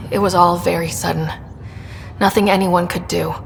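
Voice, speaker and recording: A woman playing a caring young space explorer and sister in a video game, speaking gently and earnestly. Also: steady tone, normal speed, gravelly. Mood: gentle, sorrowful. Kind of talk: dramatic video game acting. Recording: hall reverb, faint rumbling noise.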